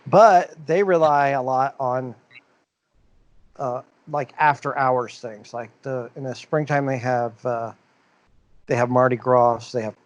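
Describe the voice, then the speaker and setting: A man's voice: medium pitch, takes it slowly, no room sound, muffled recording. A man co-hosting a casual podcast, reading out news items and rambling on with many fillers and restarts. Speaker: podcast co-host.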